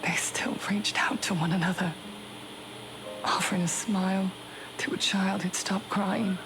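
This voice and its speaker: A woman voicing a serene young leader of a devout society in a video game, speaking softly and breathily with solemn, theatrical pauses. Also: mid-range voice, level tone, background noise, close to an everyday voice. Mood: gentle, reverent. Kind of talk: scripted game dialogue. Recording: game audio with prominent background music.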